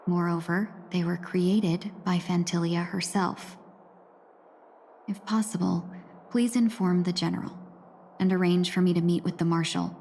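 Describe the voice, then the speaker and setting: A woman acting a graceful, composed young woman in a video game, with polite, measured phrasing and a wry, quietly resolute temper. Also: fairly low pitch, fairly even intonation, a little hiss or hum. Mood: calm resolve. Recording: long reverberant hall echo.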